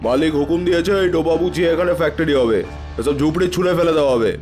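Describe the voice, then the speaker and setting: A man's voice: fairly high pitch, fast, darkish tone, faint music behind, close to an everyday voice. A man playing a dismissive, blunt labour foreman in a cartoon. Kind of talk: cartoon voice acting.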